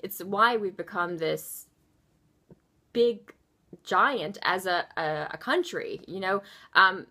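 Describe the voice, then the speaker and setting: A young woman with a bright, clear tone speaks with heartfelt conviction. She pauses often on ums as she thinks aloud. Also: medium pitch. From born in Indonesia, raised in Baltimore, USA. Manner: earnest, passionate, many ums. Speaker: nonprofit founder. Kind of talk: unscripted video commentary.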